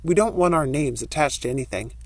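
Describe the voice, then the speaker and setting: A woman's voice: low voice, brisk, a little mellow, dry recording, pitched below the performer's own voice. A woman voicing a cautious, practical young man in an audiobook story. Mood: cautious, businesslike.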